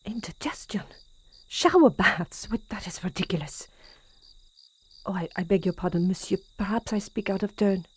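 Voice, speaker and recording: An actress playing a concerned female servant to a French gentleman in an audio horror drama, polite, tentative and deferential. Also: medium pitch, moderate pace, breathy edge, no room sound.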